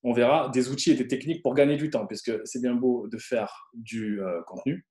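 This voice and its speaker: A man co-presenting a live webinar, hesitant and halting as he explains. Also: medium pitch, steady tone, mellow, echoey room. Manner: hesitant, many fillers and restarts.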